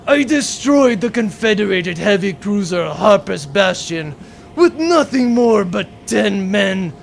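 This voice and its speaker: A man's voice: high-pitched, vocal fry, a little hiss or hum, exaggerated voice. A man playing a boastful, short-tempered space pirate captain in a comic sci-fi audio drama, bombastic and menacing.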